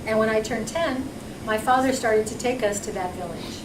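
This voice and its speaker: A woman's voice: on the high side, moderate pace. A woman interpreting into English, speaking evenly and carefully. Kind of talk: consecutive interpretation. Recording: echoey distant hall recording with noise.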